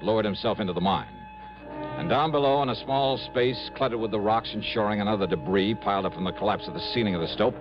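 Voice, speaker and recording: A male actor plays a composed investigator in a vintage radio drama, telling his case in scripted first person. The voice is fairly low with lively inflection. Music plays behind a muffled recording. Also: close to an everyday voice.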